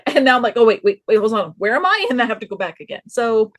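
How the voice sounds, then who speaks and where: A woman in a casual podcast review chat, speaking in halting bursts and repeating words. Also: fairly high pitch, big pitch swings, slight background noise. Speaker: podcast panelist.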